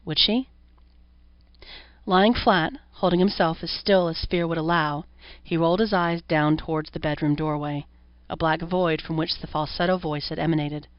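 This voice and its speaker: A woman's voice: deep voice, fairly even intonation, normal speed. A woman reading a horror story as an audiobook narrator, in scripted narration. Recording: roomy echo, dull lo-fi sound.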